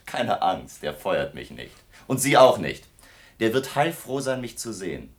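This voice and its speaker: An actor playing a brash, flippant young student in a German radio play, cheeky and mocking. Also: male voice, fairly low pitch, big pitch swings, measured pace. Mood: insolent, defiant. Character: cocky, insolent young male student in a professor's office.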